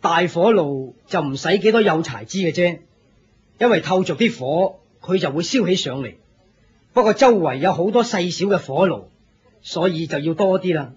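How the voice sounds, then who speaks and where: Husky, friendly devout disciple. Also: male voice, sits high, lively intonation, normal speed, heavily acted. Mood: explaining. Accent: Hong Kong Cantonese. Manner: patient, plain-spoken explaining. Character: friendly, simple devout male senior disciple of a sect. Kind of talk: Cantonese radio drama acting. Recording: muffled lo-fi radio drama.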